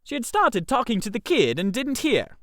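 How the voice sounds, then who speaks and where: A man narrating a comic first-person story in an expressive, theatrical way. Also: extremely high pitch, normal speed, dry recording, studio quality.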